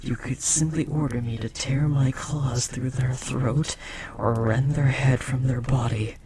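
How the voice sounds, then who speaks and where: A man voicing a powerful, possessive demon bound to a master, speaking formally and theatrically in a breathy, gravelly voice in an audio roleplay. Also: mid-range voice, fairly even intonation, unhurried, dark tone, close to an everyday voice. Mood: jealous, indignant. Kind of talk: dramatic audio roleplay monologue. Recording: clear background noise, ambience.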